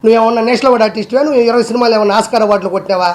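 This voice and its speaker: A man's voice: very high-pitched, very fast, a little mellow, faint music behind. A man delivering a heated rebuttal in a combative way, firing off one rhetorical challenge after another.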